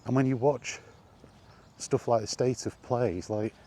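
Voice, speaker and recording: Guy venting about stuff on his vlog, deep-ish soft dark voice, fed up, some outdoor noise behind him. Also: steady tone, unhurried. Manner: exasperated, emphatic, rhetorical questions. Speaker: solo video game vlogger. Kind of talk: off-the-cuff opinion rant.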